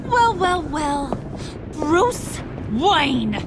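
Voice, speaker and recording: An actress playing a volatile young villain in a video game, brash, mocking and menacing. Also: female voice, high-pitched, very animated, very slow, background noise, exaggerated voice.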